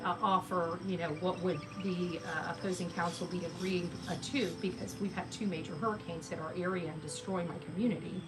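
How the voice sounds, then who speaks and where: Halting, bitter woman venting. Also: on the low side. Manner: halting, many fillers and restarts; bitter and blunt, swears. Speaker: vlogger. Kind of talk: casual venting to viewers.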